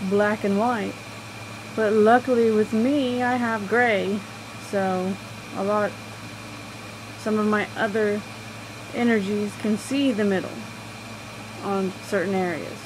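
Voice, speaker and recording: A woman with a quiet voice talking casually about astrology, rambling and halting with many fillers. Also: medium pitch, steady tone, noisy background. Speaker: astrology vlogger. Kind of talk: casual personal talk.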